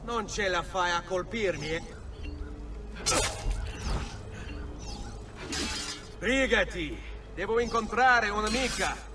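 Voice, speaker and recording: High-voiced headstrong young Italian nobleman. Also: unhurried. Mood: cocky. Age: young adult. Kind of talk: dramatic video game acting. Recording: music behind the voice.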